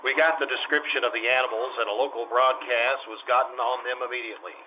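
A man's voice: mid-range voice, steady tone, fast, lo-fi sound, very noisy, natural voice. A man playing a polite, patient police detective in an old-time radio drama, questioning in a clipped, matter-of-fact way. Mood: businesslike.

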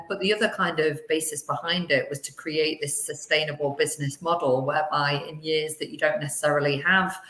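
A woman's voice: low voice, a bit bright, roomy sound, low-quality recording. A woman, chatting as an upbeat guest on a podcast. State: slightly hoarse. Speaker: venue sales director.

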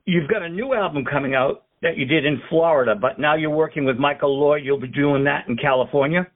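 A man hosting a radio show, interviewing a guest with slow, affirming questions. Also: on the high side. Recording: phone-like line.

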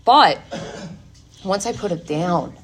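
A woman's voice: lowish voice, lively intonation, slow pace, a little hiss or hum. A woman speaking candidly and emphatically at a recovery workshop, giving a personal testimony talk to an audience.

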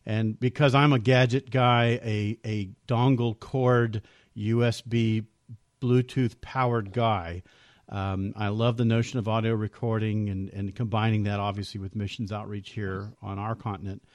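A man's voice: fairly low pitch, little pitch movement, unhurried, dry recording. A man hosting a faith podcast, giving an earnest, upbeat scripted introduction and then interviewing a guest. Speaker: podcast host.

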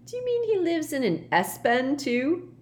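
A woman's voice: mid-range voice, big pitch swings, a little slow, voice pushed up. A woman voicing a teasing, easily discouraged teenage schoolboy in an audiobook, with a wry manner. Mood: teasing, amused.

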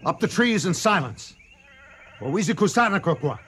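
An actor playing a grim, determined lion hunter in a radio drama, with a husky voice and terse, commanding speech. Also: male voice, sits high, sing-song, normal speed, a bit bright, exaggerated voice. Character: grim, determined male lion hunter leading men in Africa. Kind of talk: 1980 radio drama acting.

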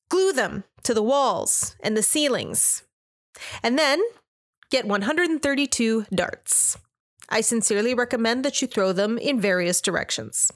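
A blunt, sardonic woman lecturing lawmakers, as an actress plays her. Her voice sits high, and her delivery stays pointed and dry-humored throughout. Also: natural voice. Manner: blunt, sardonic, full of baseball metaphors. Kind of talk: stage monologue.

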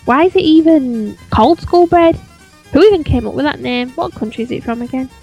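Blunt joking woman with a higher voice. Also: expressive, brisk, creaky, a little mellow, dull audio, faint music behind. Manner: blunt, joking, asks lots of questions. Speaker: podcast co-host. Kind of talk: casual chat.

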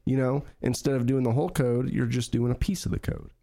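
A man's voice: medium pitch, fairly even intonation, fairly quick, vocal fry, dark tone. A man co-hosting a casual podcast, rambling and searching for words with many fillers. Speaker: podcast co-host. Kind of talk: casual chat.

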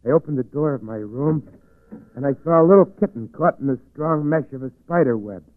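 A man's voice: medium pitch, lively intonation, brisk, natural voice. An actor playing a lonely, eerie reclusive weaver who confides his brooding story in a 1940s radio drama. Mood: eerie wonder. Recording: muffled, tinny 1940s radio recording.

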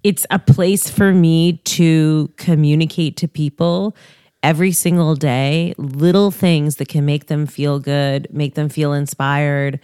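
A woman's voice: low-pitched, steady tone, unhurried, mellow, clear, resonant tone, no room sound, clean studio sound. A young adult woman, a guest in a casual interview, talking in an upbeat, motivational way. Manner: upbeat, motivational, rises when giving advice.

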